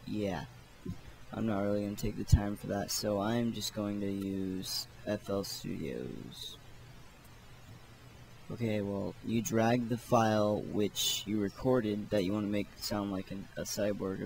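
A man gives a casual, offhand screen tutorial at a slow, unhurried pace. His voice sits a little low with a lot of fry in it, and there is faint noise behind him. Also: darkish tone, low-quality recording. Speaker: tutorial host. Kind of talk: casual software tutorial narration.

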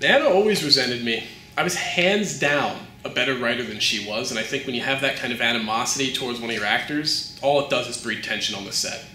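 An actor playing a vain, volatile, washed-up TV cop-show star in a mockumentary comedy, arrogant and dismissive. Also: male voice, mid-range voice, fairly quick, pushed and bright, echoey room, slight background noise, rough recording. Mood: bitter, self-justifying.

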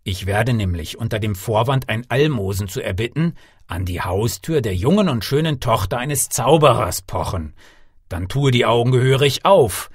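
A man's voice: mid-range voice, animated, normal speed, breathy edge, no echo, studio quality. A man voicing a ragged, talkative beggar in a fairy-tale audiobook, shrewd and kindly, giving emphatic, persuasive advice. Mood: confiding, instructing. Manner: emphatic, proverb-laden, persuasive. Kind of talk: storybook character voice in an audiobook.

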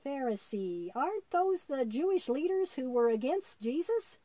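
A woman's voice: high voice, sing-song, moderate pace, warm tone, no room sound. A woman voicing an eager, good-hearted young boy puppet who thinks aloud artlessly in a children's puppet show. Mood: curious.

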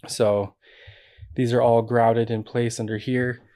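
A man's voice: medium pitch, flat delivery, slow pace, warm tone. An American man giving a casual, matter-of-fact walkthrough of a building job, explaining as he goes. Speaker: builder presenting a project. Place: indoors, large unfinished basement.

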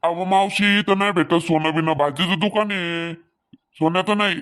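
A man's voice: sits high, fairly even intonation, normal speed, a bit bright, smooth. A man voicing an obliging but put-upon market vegetable seller in a comedy cartoon, colloquial. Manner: colloquial, protesting bargainer. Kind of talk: Marathi comedy cartoon dialogue.

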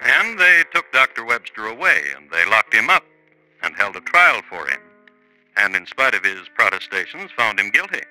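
A man playing a wry, dryly ironic crime storyteller, deliberate and theatrical, narrating in 1950s radio style. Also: on the low side, sing-song, sharp tone, soft background music, full character voice, poor audio. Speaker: host and narrator. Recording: vintage 1950s radio recording.

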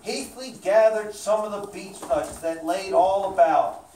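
A woman narrates a children's puppet show at a slow, measured pace. She is heard from a distance in an echoey room with faint noise. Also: medium pitch, dark tone.

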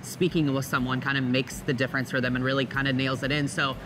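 A man's voice: medium pitch, flat delivery, fast. A young man conversationally hosting a local TV interview in an agreeable, upbeat style. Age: young adult. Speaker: TV host interviewing a guest. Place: large indoor welding workshop. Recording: clear background workshop hum and noise.

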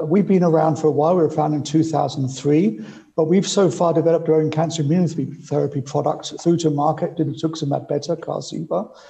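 A man's voice: on the high side, creaky, warm tone, poor audio. An older man presenting his company as chief executive on an online panel, conversational with clipped phrases. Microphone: video call microphone.

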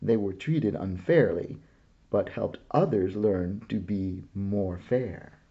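A gentle, proud mother from a picture book, read by a man. She speaks slowly and reassuringly, in a low, husky voice that rises and falls with feeling. The sound is slightly muffled. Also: full character voice.

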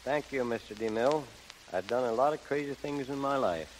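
A man's voice: medium pitch, fairly quick. Folksy old man on 1930s radio. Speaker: guest, gold prospector. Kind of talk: 1930s radio guest speech. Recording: noisy vintage 1930s radio broadcast.

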